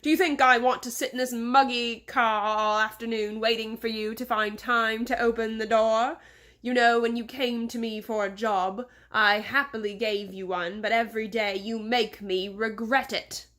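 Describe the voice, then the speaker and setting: Woman putting on a pompous, bellowing English gentleman boss. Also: a little high, steady tone, moderate pace, lightly acted. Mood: irate, impatient. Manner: imperious, scolding, theatrical.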